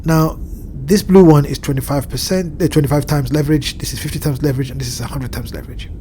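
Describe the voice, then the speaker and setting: A man with a blocked nose and a slightly nasal voice, walking through a chart with measured explanations and frequent pauses to find his words. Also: fairly high pitch, steady tone, mellow, slight background noise. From Southern Hemisphere. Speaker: crypto market analyst. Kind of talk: screen-share chart walkthrough. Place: home at evening, indoors.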